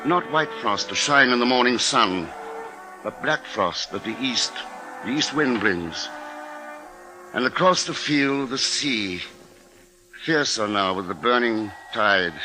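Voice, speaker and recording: A shaken, determined husband telling his story in an old 1950s radio drama. His middle-pitched voice is grave and deliberate, with theatrical weight. Music plays clearly behind him. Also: lively intonation, poor audio. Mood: somber, slow. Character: husband and father, grave narrator, shaken but dogged. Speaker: lead actor and narrator. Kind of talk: 1950s radio drama acting, first-person narration.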